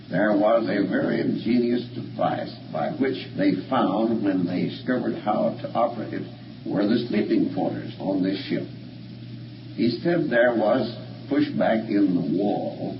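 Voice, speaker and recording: Male lecturer, low voice, measured and formal storytelling; noisy, echo-laden old recording. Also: far from the mic. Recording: muffled, noisy, echoey archival recording from afar.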